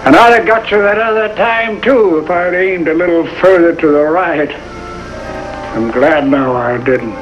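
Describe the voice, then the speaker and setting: Male actor as old mountain feuder, blunt, very slow delivery, dull vintage TV sound under prominent music. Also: a little high, lightly acted. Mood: grudgingly softened. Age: older. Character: stubborn, feuding old backwoods mountain man. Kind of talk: 1950s American television drama acting.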